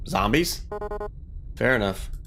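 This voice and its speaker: A man's voice: mid-range voice, lively intonation, slow, a bit bright. A man giving casual let's play commentary, thinking aloud in an informal way and sometimes reading game text. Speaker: gaming video host. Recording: music behind the voice. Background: guitar game music.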